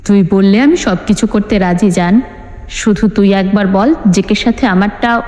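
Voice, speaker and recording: A woman's voice: mid-range voice, normal speed, warm tone, lightly acted. A woman voicing an anxious, submissive, lovesick young man in an audio story, pleading and hesitant. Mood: pleading devotion. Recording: long hall reverb with music bed.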